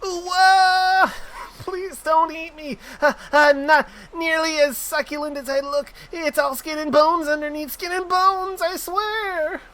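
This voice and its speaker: A man voicing a small, frightened young man who is jittery and whiny, reading his lines in a high, anxious, pleading voice. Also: fairly even intonation, takes it slowly, pushed and bright, full character voice.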